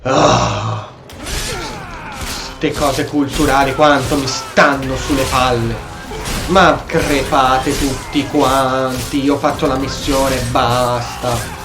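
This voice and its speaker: Guy doing gameplay commentary, grumbly and blunt, loud music under him. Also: medium pitch, lively intonation, slow. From Italy. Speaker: gamer, video host.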